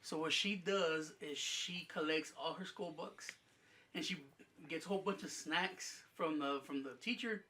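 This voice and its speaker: A young man hosting a casual podcast chat, with a high-pitched voice, mumbling a little and often laughing as he tells stories. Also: moderate pace, a little hiss or hum. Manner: mumbling, laughing, animated storyteller. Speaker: podcast host.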